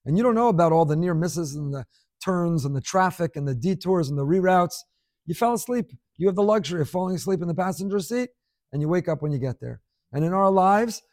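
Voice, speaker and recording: A man delivers a spoken Torah class in an earnest, conversational tone, leaning on repetition to drive his points home. His voice sits a bit higher than most men's, and the sound is close and dry with no room around it. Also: a little mellow, no echo. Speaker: rabbi giving a class.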